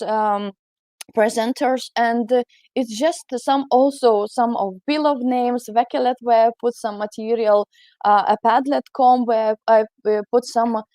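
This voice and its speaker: A woman's voice: a little high, dark tone, dry recording. Hesitant Lithuanian-accented woman lecturer. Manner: hesitant, many fillers and restarts. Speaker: university lecturer presenting at a conference. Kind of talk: conference presentation.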